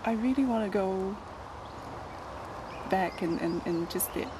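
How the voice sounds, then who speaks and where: A young woman from Taiwan, raised in New Zealand, answering interview questions hesitantly, with many pauses, on a handheld mic outdoors. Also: medium pitch, background noise. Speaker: student guest. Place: outdoors in a garden by a stone building.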